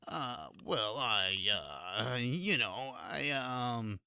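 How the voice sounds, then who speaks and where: A man's voice: medium pitch, animated, drawn-out words, gravelly, sharp tone, smooth, dry recording, clean studio sound, exaggerated voice. A man playing a cowardly, put-upon demon underling in an audio drama, whiny and indignant. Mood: nervous.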